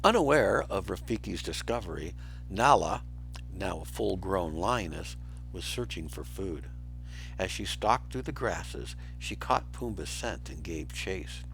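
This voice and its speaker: Male storybook reader, expressive and dramatic, slowish delivery with a very lively pitch, over music. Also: creaky, poor audio. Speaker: teacher reading aloud to pupils. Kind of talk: expressive children's storybook read-aloud.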